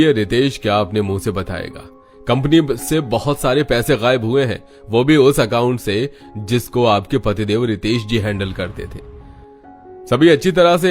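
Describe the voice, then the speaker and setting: A man playing a smug, cold, accusing company boss in an animated melodrama. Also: mid-range voice, lightly acted. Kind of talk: animated Hindi family story acting.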